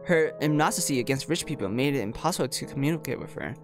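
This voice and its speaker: A man streams a visual novel, reading the text aloud and chatting about it in an informal way. His pitch moves around a lot, with a tone of amused disbelief in his reactions. Music plays clearly behind his voice. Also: animated, quick pace.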